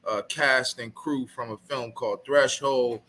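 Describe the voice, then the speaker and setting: Animated joking man on a video call. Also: mid-range voice, measured pace, a little hiss or hum. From Baltimore, USA. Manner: animated, joking, streetwise slang. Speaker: actor guest. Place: on a film set.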